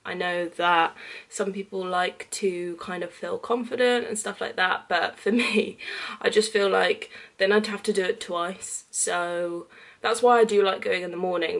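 A woman's voice: fairly low pitch, vocal fry, fairly bright tone. A young woman in her twenties chatting casually and self-deprecatingly to the camera in her small bedroom, laughing at herself. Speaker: vlogger. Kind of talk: casual vlog chat. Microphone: camera mic at arm's length.

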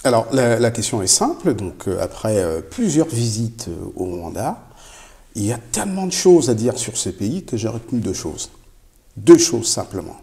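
Mellow, animated low-voiced man. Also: moderate pace, creaky. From Africa. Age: middle-aged. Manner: emphatic, repeats key phrases. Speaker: company CEO, interview guest. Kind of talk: explanatory interview answers. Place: indoor showroom with product shelves.

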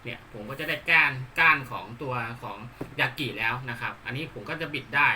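Male DIY demonstrator: very bright, forceful voice, halting polite explanations, light background noise. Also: medium pitch. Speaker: hobbyist presenter demonstrating a DIY build. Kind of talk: unscripted hands-on tutorial talk.

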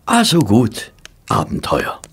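A man's voice: lowish voice, wildly swooping pitch, takes it slowly, creaky, fairly bright tone, slightly rough, full character voice. A man playing a polite, curious doctor and detective's companion in a German audio drama, hesitant and easily astonished. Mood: eager questioning. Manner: hesitant, easily amazed, questioning.

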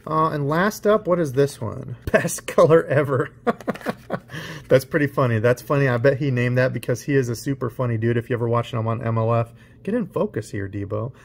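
A man with a middle-range voice talks straight to the viewer while his hands show off new fishing tackle. He is chatty and relaxed and explains the gear as he goes, recorded on a close mic with the speaker off camera. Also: mid-range voice, vocal fry. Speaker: fishing channel host. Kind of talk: casual unboxing show-and-tell.

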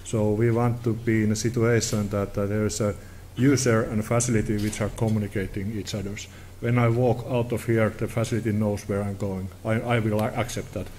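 A middle-aged Finnish man with a Finnish accent giving a conference presentation in halting, informal English at a podium. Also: lowish voice, flat delivery, darkish tone, a little hiss or hum. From Finland. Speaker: technology director presenting at a conference. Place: indoor conference hall.

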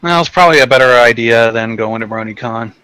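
A man's voice: mid-range voice, fast talker, sharp tone, faint music behind, poor audio. A man in casual, sweary chat as a guest in a group voice call.

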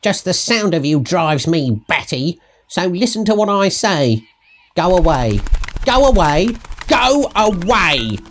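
Grumpy female storybook bird, man's put-on voice, high for a man, slow, bossy, sing-song. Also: sing-song, a bit bright, full character voice. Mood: grumpy, dismissive. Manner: emphatic, sing-song rhyming.

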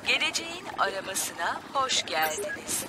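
A woman voicing a polite talking camper van navigator in a children's cartoon, measured and instructional. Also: medium pitch, very animated, heavy background noise, natural voice. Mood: polite, instructing.

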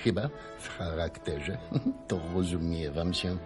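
An actor playing a foppish, befuddled old prince with a halting, pompous manner in a grotesque radio operetta. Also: male voice, deep voice. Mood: hesitant, amused. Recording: 1980 radio play with music behind.

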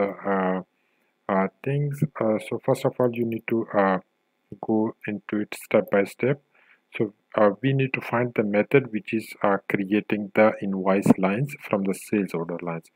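Low-voiced man narrating a coding tutorial. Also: no echo, poor audio. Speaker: software tutorial instructor.

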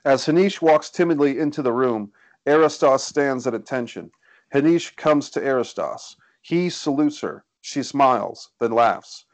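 A man's voice: medium pitch, expressive, a little slow, no echo. A man reading stage directions as scripted narration at a screenplay table read, recorded indoors over a video call.

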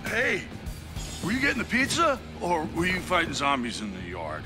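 An actor playing a hungover, dim, boisterous middle-aged rocker in a video game, with a lazy stoner drawl. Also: male voice, a little high, huge pitch swings, moderate pace, over music. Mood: groggy, easygoing. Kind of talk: game cutscene acting.

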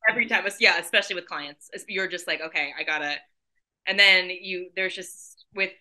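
A woman's voice: lowish voice, fairly quick. A woman in her forties, a photographer and guest, talking in a chatty, enthusiastic way over a video call from her living room. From San Diego, California. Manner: chatty, enthusiastic, animated hand gestures. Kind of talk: casual interview on a video call.

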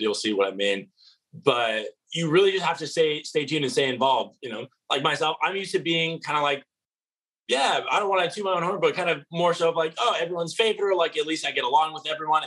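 A man's voice: on the high side, a bit bright, low-quality recording. A man in his twenties, a reality show contestant, answering interview questions over a video call, earnest with many restarts and fillers. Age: 20s. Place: apartment living room.

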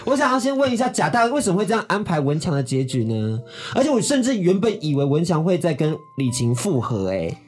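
A man's voice: a little high, huge pitch swings, quick pace, few pauses, darkish tone, slightly rough, faint music behind. A man with a Taiwanese Mandarin accent, hosting a casual interview about a book. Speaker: radio show host.

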